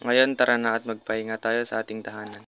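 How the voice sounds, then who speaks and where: A man's voice: lowish voice, flat delivery, fast talker, pushed and bright, clean tone. A man voicing a friendly, cautious forest animal in a puppet show.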